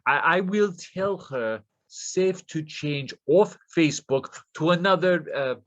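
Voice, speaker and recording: An actor playing a desperate, nervous Russian scammer with a Russian accent, stammering deferentially in broken English in a play reading. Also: male voice, fairly high pitch, takes it slowly, a bit bright, dull audio. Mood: anxious, reassuring.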